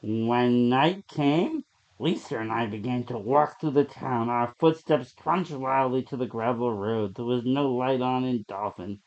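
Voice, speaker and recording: A man's voice: medium pitch, unhurried, darkish tone. Man narrating horror, dull lo-fi recording. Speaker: story reader. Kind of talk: dramatic horror story narration. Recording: dull, lo-fi sound.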